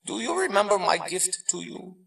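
An actor playing a wise old martial arts master spirit in an audio drama, speaking in a measured, formal, teaching manner. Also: male voice, sits high, very animated, creaky, fairly bright tone, roomy sound, distant mic, heavily acted. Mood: grave, caring.

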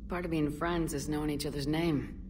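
A woman voices a space commander from a video game in a low register. She is composed and direct, with a steady, sympathetic manner. Background ambience noise is clearly audible behind her. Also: a little fast, close to an everyday voice. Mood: sympathetic, curious.

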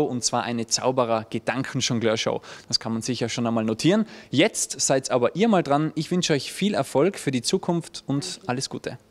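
A young man hosting a TV music show, asking questions in an upbeat, smooth presenter style into a handheld mic in a stone-walled venue. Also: mid-range voice. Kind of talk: TV interview hosting.

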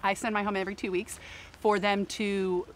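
Woman in her forties, pre-K teacher, mid-pitched, faint outdoor hiss. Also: level tone, normal speed. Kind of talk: interview for local TV feature. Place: outdoors beside a building.

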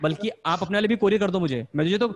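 A young man doing stand-up crowd work on a video call, teasing the audience with quick, laughing banter into a stand mic at home. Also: high voice. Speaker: stand-up comedian hosting a video-call show. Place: room at home. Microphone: stand mic in frame. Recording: noisy video call audio.

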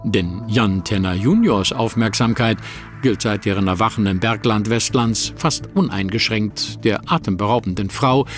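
A man narrating a radio play in a measured storytelling manner. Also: mid-range voice. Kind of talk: scripted narration.

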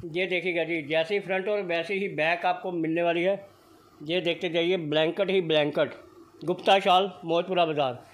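Man in his own voice, fairly high-pitched, pushy sales hype, phone-camera sound in a shop. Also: steady tone, normal speed, rough recording. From Punjab, India. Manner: upbeat, repetitive hard-sell patter. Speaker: shop video vlogger. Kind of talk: excited sales walkthrough. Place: indoor blanket shop.

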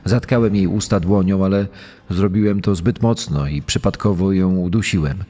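Male actor for accused murderer in true crime drama, very low and mellow, deliberate confession, reverberant. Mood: defensive. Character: male accused child killer giving self-justifying court testimony. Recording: long echoing hall reverb.

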